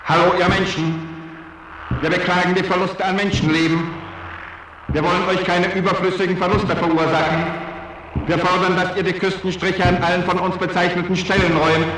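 An actor playing the leader of intelligent newts, addressing humans formally with cold, measured courtesy in a radio play. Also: male voice, sits high. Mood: cold, polite menace. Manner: measured, flat, coolly polite menace. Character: Chief Salamander, male leader of intelligent newts, coldly courteous. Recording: distant, very echoey, noisy radio transmission.

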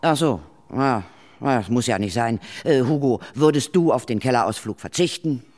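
A man plays a bossy veteran ghost hunter in a children's audio drama. The voice sits at a middle pitch but moves in lively swings. The delivery is brusque, dry and commanding. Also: moderate pace, soft background music, lightly acted. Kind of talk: children's radio play acting.

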